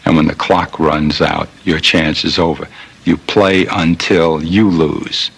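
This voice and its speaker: A man with a deep, gravelly, husky voice in a reflective monologue about sport. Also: steady tone, takes it slowly, poor audio.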